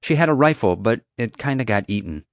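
A man plays a blunt, impatient girl in an audiobook story. The voice is pitched up and swings widely, with emphatic, informal phrasing, recorded dry. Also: expressive, a little fast, vocal fry, no room sound. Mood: matter-of-fact. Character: feisty, blunt, impatient young girl, eager to explain.

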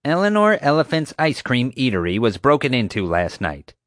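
A man reading an audiobook, voicing a loud, bossy adult woman security guard who barks and growls her commands. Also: mid-range voice, huge pitch swings, moderate pace, dry recording, studio quality, pitched above the performer's own voice. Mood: angry, bossy.

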